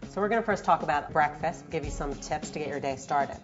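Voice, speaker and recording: Low-voiced woman giving nutrition tips. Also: brisk, highs cut off, over music. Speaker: nutrition specialist. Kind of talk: instructional tips. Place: TV studio kitchen.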